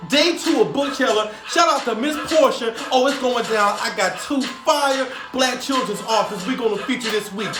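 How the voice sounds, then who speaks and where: A man hosting a children's storytime in a hyped, sing-song, call-and-response way, reading rhythmically to a beat. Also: very high voice. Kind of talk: rhythmic read-aloud over hip hop instrumentals. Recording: roomy echo with music. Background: hip hop instrumental music.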